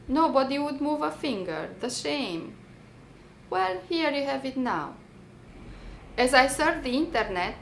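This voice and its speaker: High, creaky Romanian-accented woman reading aloud. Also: a bit bright. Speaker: writer reading own work. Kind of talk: dramatic reading of a personal testimony essay. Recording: roomy echo, faint background noise.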